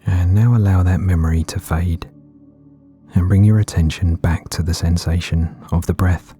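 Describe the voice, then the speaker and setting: A man gently guiding a meditation in a soothing, unhurried way with long pauses between phrases. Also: sits low, steady tone. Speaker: meditation guide. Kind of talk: guided meditation narration. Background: background music.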